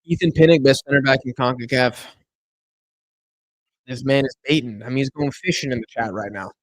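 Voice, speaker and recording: A man's voice: mid-range voice, brisk, no room sound, rough recording. Tired young man rambling on a live stream. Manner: chatty, rambling, answers chat questions. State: tired, losing his voice. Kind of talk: casual live stream chat. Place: home room in Argentina.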